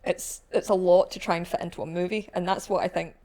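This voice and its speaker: A woman's voice: lowish voice, steady tone, quick pace, dark tone. A woman co-hosting a podcast in casual panel chat with fellow arcade staff. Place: arcade shop, indoors.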